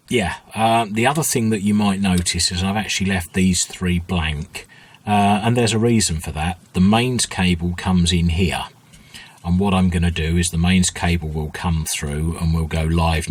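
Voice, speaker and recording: A man giving an informal workbench tutorial as a hobbyist restorer, thinking aloud in a hesitant, unhurried way with a husky voice. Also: low voice, steady tone. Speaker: hobbyist radio restorer, video host.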